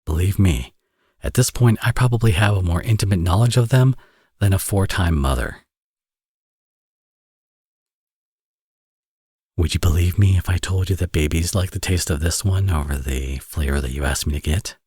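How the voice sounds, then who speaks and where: Man acting deep, husky, teasing villain dad. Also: fairly quick, a little mellow, dry recording, studio quality. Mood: teasing, smug. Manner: smug, playful, conversational sighs and teasing. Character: teasing, flirty male villain, now a doting father. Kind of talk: ASMR audio roleplay, romantic drama acting.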